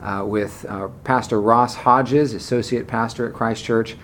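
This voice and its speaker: A man hosting a church podcast, interviewing a guest in a friendly, halting way with frequent fillers. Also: medium pitch, steady tone, a bit bright, a little hiss or hum. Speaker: podcast host. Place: Charleston, South Carolina church.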